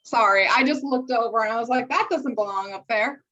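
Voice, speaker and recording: A woman's voice: fairly high pitch, fairly quick, fairly bright tone, highs cut off. A young American woman chatting casually as a podcast co-host, with a wry, joking and sweary manner. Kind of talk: casual chat.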